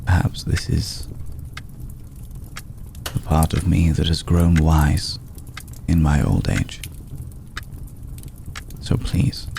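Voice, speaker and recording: A man voicing an ancient, courtly, protective vampire lord in a hushed ASMR roleplay, speaking formally and with deliberate pauses. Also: extremely low pitch, lively intonation, vocal fry, slight background noise, exaggerated voice. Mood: gentle, earnest. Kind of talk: ASMR roleplay, slow intimate scripted monologue.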